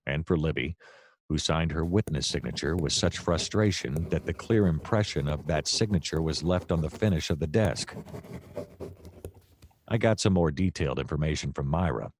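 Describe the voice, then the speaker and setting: An actor playing a wry, deadpan private detective who narrates a noir comedy radio play in wordy style. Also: male voice, a bass voice, no echo. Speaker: narrator and lead character.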